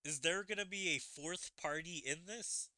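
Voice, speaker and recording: A man giving animated game playthrough commentary, talking through the story as he goes. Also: on the high side, moderate pace, no room sound. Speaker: gaming video host. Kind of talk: game playthrough commentary, reading dialogue aloud.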